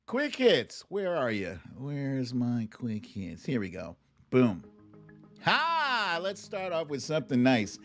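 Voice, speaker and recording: An American man hosts a casual livestream at a slow, unhurried pace. His voice sits at a medium pitch, and he talks informally and expressively to his viewers. Music plays behind him. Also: wildly swooping pitch, a bit bright. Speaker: host of a live online talk show. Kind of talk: casual livestream chat and commentary.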